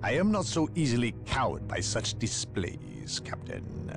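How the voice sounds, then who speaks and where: An actor playing a cold, secretive male inquisitor who speaks in a measured, coldly lecturing way, in a video game cutscene. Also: mid-range voice, huge pitch swings, music in the background. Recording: voice over orchestral game score.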